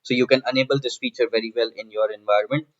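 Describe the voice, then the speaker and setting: A man narrating a software walkthrough as a tutorial presenter, explaining step by step and often repeating phrases. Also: mid-range voice, fairly even intonation, racing. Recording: dull, lo-fi microphone sound.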